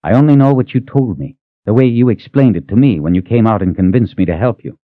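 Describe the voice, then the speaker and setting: A big gruff coachman in middle age, weary and patient, speaking in a low voice with plenty of lift and fall, as a male narrator plays him. The sound is dry and slightly muffled. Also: lively intonation, quick pace, dry recording, close to an everyday voice.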